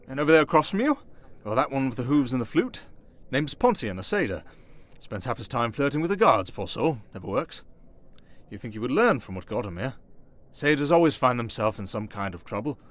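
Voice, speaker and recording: A man playing a smooth-talking, sarcastic vampire noble, chatting idly and vainly with a fellow prisoner in an ASMR roleplay. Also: mid-range voice, fairly bright tone.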